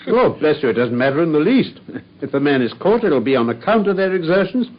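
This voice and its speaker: An actor playing a brilliant, self-assured Victorian detective with an English accent and a wry, commanding manner in a radio drama. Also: male voice, fairly high pitch, very animated, brisk. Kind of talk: British radio drama acting. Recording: muffled radio recording with faint noise.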